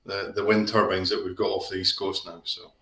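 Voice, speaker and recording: A man's voice: deep voice, little pitch movement, fairly quick, fairly bright tone, reverberant. Chatty Aberdeen Scotsman on a video call. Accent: Aberdeen Scottish. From Scotland. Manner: chatty, rambling with many restarts and fillers. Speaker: guest. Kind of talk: casual live-stream chat. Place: home office.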